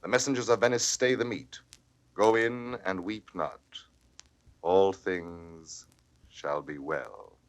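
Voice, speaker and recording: An actor playing a scheming soldier who feigns kindly concern, in a soothing, insinuating classical Shakespearean stage style. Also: male voice, low voice, takes it slowly. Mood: reassuring.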